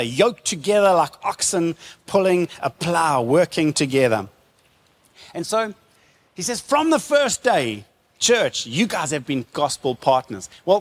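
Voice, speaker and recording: A man preaching in an animated storytelling style, using rhetorical questions, emphatic repetition and informal retelling. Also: high voice, big-room sound.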